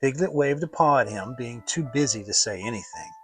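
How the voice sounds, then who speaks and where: Male narrator for a children's audiobook: lively intonation, soft music underneath. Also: medium pitch, a little fast. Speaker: storybook narrator. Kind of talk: dramatic children's story narration.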